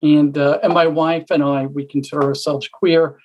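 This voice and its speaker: Middle-aged woman panelist, husky, thoughtful, halting delivery. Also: sits low, level tone, highs cut off. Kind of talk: panel discussion answers.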